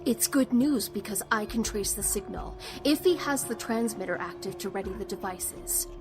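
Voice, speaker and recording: A young woman acting in an audio drama as a sharp, wry, tech-savvy younger sister. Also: medium pitch, lively intonation, moderate pace, natural voice. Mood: pleased, explaining. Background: music.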